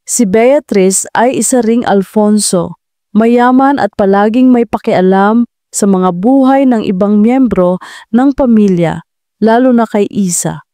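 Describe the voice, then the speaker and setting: A woman narrating a scripted romantic audio drama with a steady storytelling delivery. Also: medium pitch, measured pace, no room sound, studio quality.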